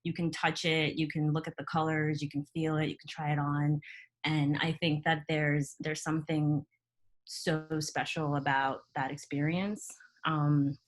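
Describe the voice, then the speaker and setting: A woman's voice: low-pitched, level tone, unhurried, poor audio. A woman who grew up in Ghana, a retail co-founder, speaking thoughtfully in a panel chat with frequent restarts. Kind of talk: panel discussion on a video call.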